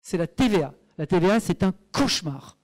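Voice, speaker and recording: A man's voice: sits high, expressive, a little fast. A man from Brussels with a Belgian French accent, presenting his startup at a meetup, hesitant with many fillers and then emphatic. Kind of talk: conference presentation.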